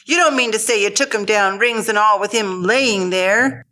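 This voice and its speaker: A woman's voice: mid-range voice, moderate pace, pushed and bright, lightly acted. A woman playing a sly, nosy, crude junk dealer who asks prying, teasing questions in an audio drama. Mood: sly, incredulous. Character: sly, nosy pawn shop dealer named Joe, crude.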